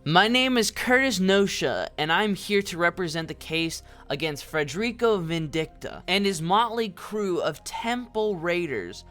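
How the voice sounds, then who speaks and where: Courtroom prosecutor, mid-pitched voice, formal and oratorical, accusatory, audio drama, faint music behind, acted. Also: animated, measured pace, hardly any pauses, a bit bright. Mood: formal, accusing. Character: formal, theatrical prosecuting lawyer in a fantasy court.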